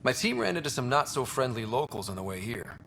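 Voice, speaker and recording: Quick, earnest explorer hero. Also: male voice, mid-range voice, big pitch swings, vocal fry, fairly bright tone, a little hiss or hum. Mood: curious. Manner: brisk, inquisitive. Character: young human male explorer hero, earnest and inquisitive. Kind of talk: video game dialogue.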